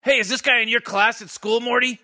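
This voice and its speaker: Man voicing a cynical old mad scientist, raised pitch, very bright tone, sarcastic, rambling restarts. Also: fairly even intonation, a little fast, exaggerated voice. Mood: sardonic. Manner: sarcastic, rambling, stammered restarts, barking insults. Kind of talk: comedic commentary on game characters.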